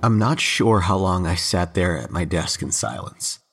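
An actor playing an uneasy young office tech who narrates a horror story in the first person, with dramatic pauses and a sardonic edge. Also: male voice, low-pitched, expressive, breathy edge, exaggerated voice. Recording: loud music behind the voice.

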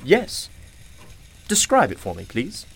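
A man's voice: fairly high pitch, huge pitch swings, slow, vocal fry, fairly bright tone, husky, exaggerated voice. A man playing a genial, knowing, mysterious shopkeeper in a radio play, with a courtly, theatrical and cryptic manner. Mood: inviting.

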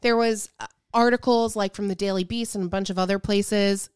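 A woman's voice: medium pitch, fairly even intonation, brisk, clear, resonant tone, no echo, studio quality. A woman co-hosting a casual chat podcast, playful and self-deprecating, with a free, sweary way of talking. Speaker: podcast co-host.

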